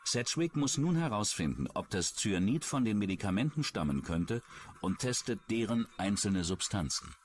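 Low-voiced, animated male documentary narrator. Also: moderate pace, noisy background. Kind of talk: scripted true-crime narration. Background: background music.

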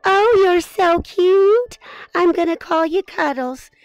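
A woman voicing a gentle, doting mother hen in a children's story, cooing and encouraging. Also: very high voice, expressive, dry recording, dull audio, voice pushed up, heavily acted. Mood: doting, tender. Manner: cooing, encouraging, drawn-out delivery.

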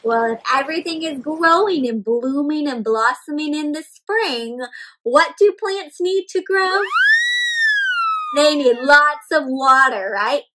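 A young woman reading a picture book aloud to young children in an animated, expressive way, often putting questions to the listeners. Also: high-pitched, slow pace, a little hiss or hum, poor audio. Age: young adult. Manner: animated, questioning, addressing young viewers as friends; exaggerated expressive delivery with gasps and stretched words. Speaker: children's read-aloud storyteller. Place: indoor room with houseplants.

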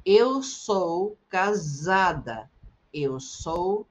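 A woman's voice: lowish voice, animated. Woman, middle-aged, language teacher, careful articulation, slightly muffled webcam sound. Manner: slow, carefully articulated repetition. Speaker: Portuguese teacher. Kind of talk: slow repeated phrase drills for learners. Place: indoors, webcam in a circle overlay.

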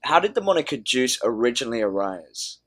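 A man asking brief, measured interview questions. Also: medium pitch, very animated, fairly bright tone.

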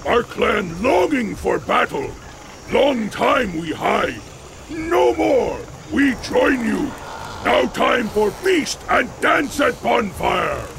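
Brash orc chieftain, very gravelly, animated, slow broken speech, video game, music behind. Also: male voice, very high voice, background noise, full character voice. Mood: eager for battle. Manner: broken, grunted short sentences. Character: brash, war-hungry male orc chieftain, brutish and loud. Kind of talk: video game cutscene acting.

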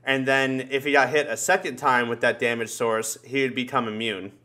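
A man's voice: mid-range voice, fairly even intonation, moderate pace, pushed and bright. Young man chatting casually, joking. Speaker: podcast host. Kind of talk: casual chat. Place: indoor room on a group video call.

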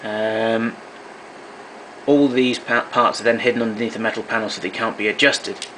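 A man's voice: on the low side, fairly even intonation, normal speed, noisy background. A man giving a matter-of-fact, technical walkthrough of equipment, recorded indoors on a camera microphone. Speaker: hobbyist demonstrator. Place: indoor room at home.

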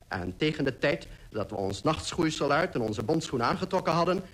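A man's voice: medium pitch, slightly rough. A man playing a polar explorer who recounts his expedition in literary, descriptive radio-drama narration. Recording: faintly noisy old radio recording.

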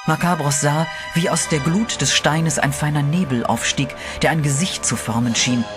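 A man narrating a horror radio play in a steady, dramatic storytelling voice, with eerie music behind him. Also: fairly high pitch, moderate pace.

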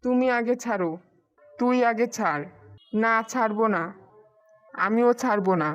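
A woman voicing a greedy, quarrelsome man-eating witch in an animated fairy tale. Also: fairly high pitch, normal speed, muffled recording, soft background music, natural voice. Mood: angry, quarrelsome. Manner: menacing, quarrelsome.

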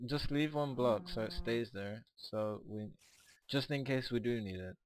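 A man with a hoarse, fading voice, chatting casually while playing a co-op game, often hesitant and stumbling over his words. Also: mid-range voice, measured pace. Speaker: co-op game player. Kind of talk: casual gameplay chat.

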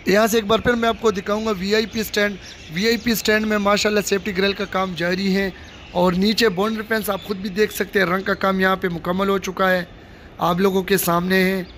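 A man with a high-pitched voice talks straight to his viewers as he walks through an outdoor stadium. He is recorded on a handheld phone. Faint outdoor noise sits behind him. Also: little pitch movement, a little fast, fairly bright tone. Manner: addresses viewers directly, repetitive showing phrases. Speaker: vlogger giving construction updates. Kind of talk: casual walking tour narration. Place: outdoors in a cricket stadium under construction. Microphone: handheld phone camera held by the speaker.

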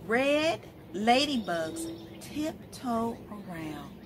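A middle-aged woman reading a picture book aloud to young children, expressive and asking questions as she goes. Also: on the high side, very slow, noisy background. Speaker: storytime host, literacy educator. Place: outdoors, in front of leafy shrubs.

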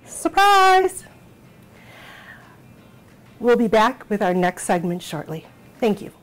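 A middle-aged woman hosting a cooking show from a small home kitchen, explaining each step in an encouraging, unhurried way. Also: fairly high pitch, very animated, faint music behind.

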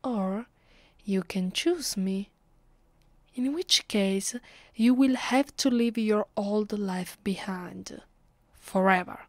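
An Italian woman voicing a haughty, possessive vampire mistress in an ASMR roleplay. She speaks English with an Italian accent in a soft, breathy, often half-whispered voice. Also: medium pitch, takes it slowly, long pauses, no echo. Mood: solemn, possessive. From Italy. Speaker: voice actress. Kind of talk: ASMR roleplay, intimate scripted monologue.

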